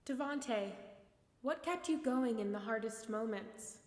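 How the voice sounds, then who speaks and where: An actress playing a composed American news reporter who asks measured, formal questions in a radio play. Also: female voice, fairly high pitch, a little mellow, natural voice. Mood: inquiring. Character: composed female news reporter interviewing a released man. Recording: echoey hall, distant mic.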